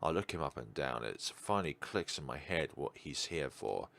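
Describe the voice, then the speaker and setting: A man's voice: extremely low pitch, expressive, normal speed, vocal fry, fairly bright tone. A man doing playthrough commentary on a visual novel, chatting in rambling asides and reading the story aloud. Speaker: let's player narrating a visual novel. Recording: background music under voice. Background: game music.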